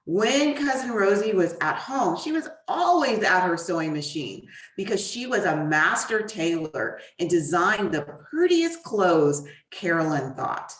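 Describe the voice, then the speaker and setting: A woman with a slightly low voice reads a picture book to children. She speaks deliberately and expressively, leaving pauses between phrases. The sound is roomy and echoey, picked up at some distance from the mic. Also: big pitch swings. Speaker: guest author reading her book. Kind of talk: children's storybook reading. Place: echoey room, away from the mic.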